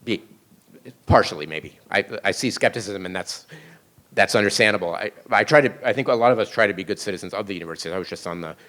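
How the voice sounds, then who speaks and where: Man, faculty panelist, very deep voice, fast pace, earnest, restarts. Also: a bit bright. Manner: quick, restarts often, earnest. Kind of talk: panel discussion answer.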